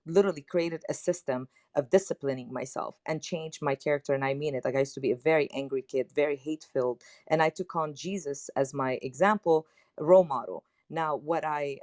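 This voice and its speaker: A woman from Lebanon, English not her first language, speaking as a panelist in an animated, emphatic, personal way. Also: low-pitched, dry recording, studio quality. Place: online audio chat room.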